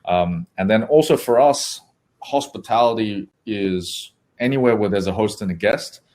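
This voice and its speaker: A man, an agency co-founder, speaking as a guest co-host and explaining his work in a relaxed, rambling way over a video call. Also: on the low side, normal speed. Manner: relaxed, rambling, frequent ums. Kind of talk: casual business talk.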